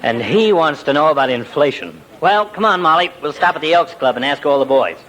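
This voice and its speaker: A middle-aged doctor from a 1940s radio comedy, with a slightly high voice. He is gruff and sardonic, needling his friends with dry, fond wisecracks. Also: lively intonation, brisk, fairly bright tone, rough recording. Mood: exasperated, sardonic. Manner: dry, teasing sarcasm.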